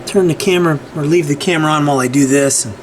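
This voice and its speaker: A man's voice: fairly high pitch, quick pace, slight background noise. A man hosting a casual repair tutorial at his workbench, talking conversationally and often checking in with "right?". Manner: conversational, frequent "right?" and restarts. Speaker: repair channel host. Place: workbench room.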